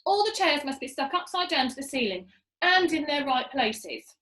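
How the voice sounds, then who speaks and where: A woman voicing a bossy, impatient monkey father in a storybook, shouting frantic orders. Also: high voice, big pitch swings, moderate pace, reverberant. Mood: urgent.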